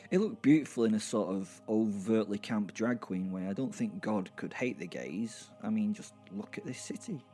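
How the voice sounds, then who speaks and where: A man's voice: on the low side, expressive, moderate pace, few pauses, mellow, soft background music, natural voice. A man playing an irreverent, foul-mouthed werewolf who narrates an audio drama with hesitant, rambling, wry asides. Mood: exasperated, wry. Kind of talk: first-person horror comedy audio drama narration.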